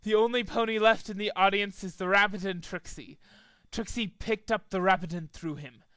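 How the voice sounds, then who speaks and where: A man's voice: sits high, fairly even intonation, moderate pace, bright, forceful tone, clean tone, no echo. A man reading a story aloud as a dramatic amateur narrator, sometimes stumbling over the words. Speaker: amateur fan fiction reader.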